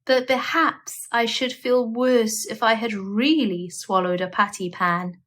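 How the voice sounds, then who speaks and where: A woman's voice: fairly high pitch, fairly even intonation, a little slow, a bit bright. A woman voicing an anxious, melodramatic lady animal in a storybook, moaning and plaintive as she talks. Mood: mournful, puzzled.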